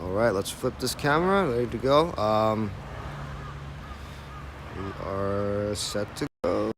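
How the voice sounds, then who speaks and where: A young man with an American accent talks casually into his phone as he guides viewers on a live walking tour. His voice sits a little low, and he rambles in a friendly way. Faint street sounds and passing cars are heard outdoors. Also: slow pace, background noise. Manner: casual, chatty, reads viewer comments aloud. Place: outdoors on a city street in early morning.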